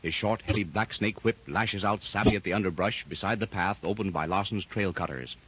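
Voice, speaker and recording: A man's voice: lowish voice, brisk. A man narrating a 1930s radio serial in a vivid, dramatic manner. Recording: dull lo-fi 1930s radio recording with faint hiss.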